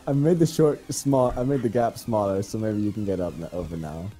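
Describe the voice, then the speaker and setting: A man's voice: lowish voice, brisk, mellow, soft background music. A young man hosting a co-op gameplay video, chatting playfully and giggling with his friend. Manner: playful, giggly, squeals for fun. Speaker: gaming channel host. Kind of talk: casual co-op gameplay commentary.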